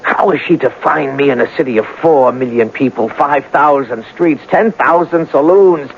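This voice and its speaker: An actor playing a lovelorn, skeptical laborer in a radio drama, blunt, fatalistic and easily exasperated. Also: male voice, mid-range voice, moderate pace, creaky, lightly acted. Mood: despairing. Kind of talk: 1970s radio drama acting. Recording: muffled, noisy old radio broadcast.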